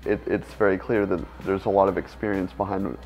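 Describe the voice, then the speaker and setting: Gravelly low man from Ohio. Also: little pitch movement, brisk. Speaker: auto detailing student. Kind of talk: testimonial interview. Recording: slightly dull, muffled sound. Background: soft background music.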